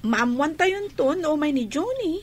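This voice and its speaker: Very high, animated chatty daughter. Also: female voice, a little slow. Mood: matter-of-fact. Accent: Ilocano. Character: chatty grown child reporting news to father. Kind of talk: Ilocano radio drama acting.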